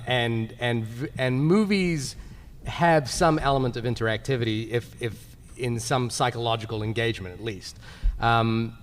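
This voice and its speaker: A man speaking casually on a live film podcast panel, halting and repeating words as he thinks. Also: mid-range voice, a little hiss or hum. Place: large convention panel room. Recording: echoey hall PA, live recording.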